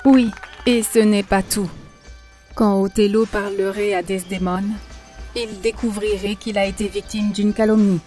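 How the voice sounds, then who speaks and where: A gentle but preachy spiritual teacher from an animated film, as a woman plays her, lecturing her listeners earnestly. Her voice is of ordinary pitch and even, with faint music in the background. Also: natural voice. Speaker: narrator and teacher.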